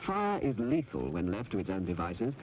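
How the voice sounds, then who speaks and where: A man reading scripted public information narration in an instructive, measured manner on an old film soundtrack. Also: low voice, wildly swooping pitch, low-quality recording. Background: music.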